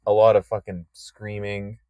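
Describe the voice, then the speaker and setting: A young man co-hosting a podcast, crude and swearing, joking in a deadpan way. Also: on the low side, moderate pace, clear, resonant tone, dry recording, muffled recording. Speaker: podcast co-host. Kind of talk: casual chat.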